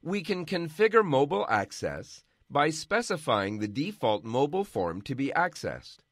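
Guy doing a software how-to voiceover, bouncy intonation, dry close mic. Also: fairly high pitch, no echo. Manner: measured, instructional. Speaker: software tutorial narrator. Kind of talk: scripted narration.

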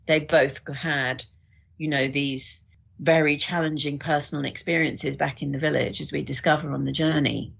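A woman's voice: low voice, fairly even intonation, moderate pace, creaky, slightly rough. A woman guest on a podcast, talking thoughtfully in a conversational way.

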